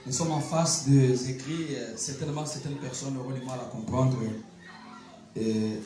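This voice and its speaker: Measured male interviewer in an echoey room. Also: mid-range voice, steady tone, dark tone. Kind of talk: formal interview questioning. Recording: roomy echo, music behind.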